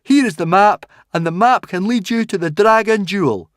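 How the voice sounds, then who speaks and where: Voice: mid-range voice, normal speed, no room sound, clean studio sound, pitched above the performer's own voice, close to an everyday voice. A narrator voicing an earnest, hot-headed Viking boy who argues insistently. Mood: solemn, urgent. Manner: insistent, argumentative.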